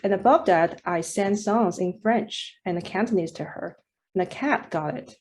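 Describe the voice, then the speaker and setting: A woman's voice: mid-range voice, unhurried, a little mellow, a little hiss or hum. A woman, a Mandarin speaker, reading a reflective prepared commentary as a poet on a panel over a video call. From China. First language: Mandarin Chinese.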